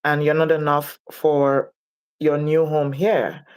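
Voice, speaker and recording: A woman from Nigeria, speaking as a guest in an interview, reflective and deliberate in her delivery. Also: sits low, level tone, clean tone, poor audio. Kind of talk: interview conversation.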